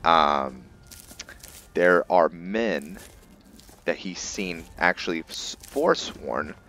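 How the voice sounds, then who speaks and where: A man giving casual, improvised game commentary in a halting, think-aloud manner as he narrates a roleplay playthrough. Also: low voice, animated, sharp tone. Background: background game music.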